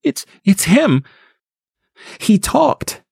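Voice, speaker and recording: A panicked young mother cries out in a high, strained voice that leaps all over the place, as a man puts her on in a storybook reading. The sound is close and dry, with no room around it. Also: sing-song, a little slow, dry recording, exaggerated voice. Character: frantic terrified young mother, woman.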